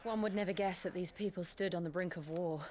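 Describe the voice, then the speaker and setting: Game heroine, female actor, composed and shrewd, lower register, understated naturalistic delivery. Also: fairly even intonation, fast talker, background noise, lightly acted. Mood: calm, wry. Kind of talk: naturalistic video game cutscene acting.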